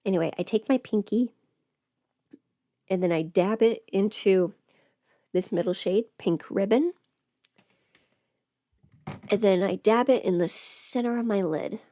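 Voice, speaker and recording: A woman talks in a casual, chatty livestream style, rambling and thinking aloud in a playful way. Her voice sits at a medium pitch and is recorded close and dry. She is a little under the weather. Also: measured pace, pauses often, dark tone, no echo. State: sick with a cold or illness. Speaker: livestream host and audio performer. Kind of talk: casual makeup livestream chat.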